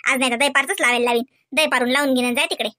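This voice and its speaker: A high, bright cartoon village aunt acted by a woman, older, devout and fussy. She rambles affectionately and grumbles in a rustic Varhadi Marathi accent. The sound is dry and close. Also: fairly even intonation, rapid-fire, dry recording. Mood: grumbling, fussy. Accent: Varhadi Marathi from Vidarbha. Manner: colloquial, rambling, coaxing and grumbling. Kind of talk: comedy cartoon dialogue.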